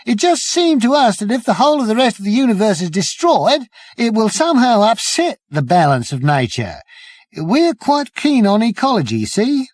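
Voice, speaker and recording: Earnest male alien villager type, very high, anxious, audiobook character, dry sound. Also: sing-song, normal speed, no echo. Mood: earnest, keen. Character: earnest, worried male alien cricketers in a crowd.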